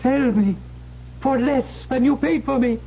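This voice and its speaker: A woman playing a sly captive imp, a devil's apprentice, in a radio drama, speaking slowly, deliberately and formally. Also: sits high, gravelly. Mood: coaxing, ominous. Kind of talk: 1970s radio drama acting. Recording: dull, noisy old radio recording with hum.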